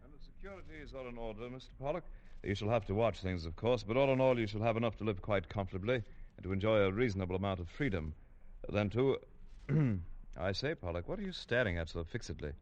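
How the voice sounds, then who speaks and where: A proper banker, voiced by a man in an old radio play, rattling off his words quickly in a low, clipped tone. He sounds businesslike and offhand. The audio is dull and lo-fi like an old broadcast. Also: animated, darkish tone, lightly acted. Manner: businesslike, clipped and matter-of-fact. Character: brisk, proper middle-aged London banker. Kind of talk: 1940s radio acting. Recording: lo-fi old radio drama recording.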